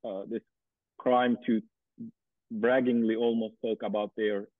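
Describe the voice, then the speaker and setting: A man's voice: lowish voice, flat delivery, unhurried, no echo. A man, an academic interviewer, asking a long question hesitantly with many fillers. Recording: dry, phone-like sound.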